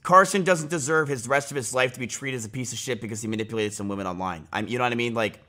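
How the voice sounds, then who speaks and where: A man's voice: medium pitch, quick pace, sharp tone. A man in his twenties talking casually on a live stream, rambling with lots of fillers and restarts, and getting heated and blunt. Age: 20s. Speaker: livestreamer. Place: small indoor room, home streaming setup.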